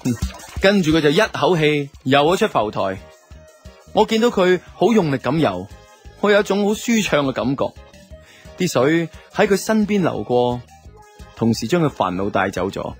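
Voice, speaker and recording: A man's voice: fairly high pitch, sing-song, moderate pace. A man with a Hong Kong Cantonese accent playing a laid-back young man who narrates his love life in a reflective, colloquial way for a radio drama. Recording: music under the voice.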